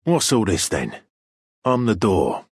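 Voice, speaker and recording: Deep, very raspy talking door. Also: male voice, big pitch swings, dry recording, clean studio sound, exaggerated voice. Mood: gruff, grudging. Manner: slow, grudging. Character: gruff, world-weary talking door guarding the way. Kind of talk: video game character acting.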